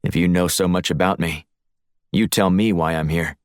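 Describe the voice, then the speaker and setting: A man's voice: sits low, fairly even intonation, normal speed, clean tone, no room sound, clean studio sound, close to an everyday voice. A man voicing a cool, wry male secret agent in an audiobook, terse and unflustered. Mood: wry, defiant. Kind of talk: audiobook character voice.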